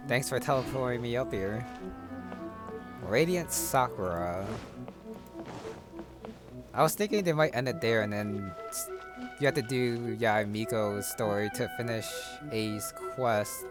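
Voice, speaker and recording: Male gamer, medium pitch, casual playthrough commentary, clear music behind. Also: expressive, a little slow, pauses often. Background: game music and sounds.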